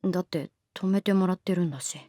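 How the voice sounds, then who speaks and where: A woman's voice: fairly low pitch, quick pace, mellow, dry recording, studio quality, close to an everyday voice. An actress playing a sulky, guarded teenage schoolgirl in a Japanese voice drama, curt and defensive with a shy side. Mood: hesitant. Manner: curt, defensive, hesitant replies.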